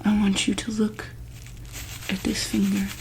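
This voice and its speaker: A woman softly speaking and whispering in an ASMR roleplay as a calm, gentle doctor, with hesitant, reassuring bedside talk. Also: medium pitch, unhurried, background noise. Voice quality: soft, breathy, often dropping into whisper. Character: calm, attentive doctor at a monster hospital. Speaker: ASMR roleplayer playing a medical examiner.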